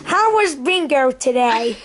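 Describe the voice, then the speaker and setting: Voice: high voice, expressive, slow pace. A performer heard as a woman, voicing a cheerful, eager puppet interviewer in playful on-the-spot questioning. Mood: chirpy, eager. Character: cheerful puppet interviewer and camp chef, high-voiced. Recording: chatty crowd behind, amateur camera.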